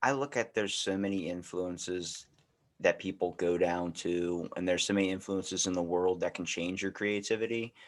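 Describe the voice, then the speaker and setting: A man's voice: sits low, moderate pace. An American man hosting a podcast, talking in a casual, rambling conversational way. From United States. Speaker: podcast host.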